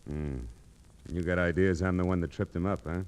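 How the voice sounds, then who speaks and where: An actor playing a shady, guarded tough-guy racketeer in a 1940s radio crime drama, gruff and needling with an American accent. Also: deep voice, steady tone, moderate pace, a little mellow, natural voice. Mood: irritated.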